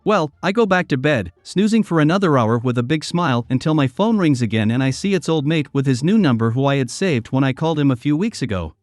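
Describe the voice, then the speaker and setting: A man narrating reddit stories in a steady, scripted storytelling read. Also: fairly high pitch, fast, few pauses, no echo. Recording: dry close sound with soft music behind.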